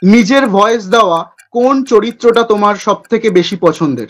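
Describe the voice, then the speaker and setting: A man hosting a playful quick-fire question segment in a chatty interview style. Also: high-pitched, fairly bright tone.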